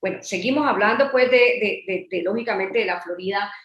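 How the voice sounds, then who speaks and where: A woman's voice: mid-range voice, brisk, reverberant, muffled recording. A woman from Colombia presenting real estate investment in a webinar, listing facts and figures in a promotional way.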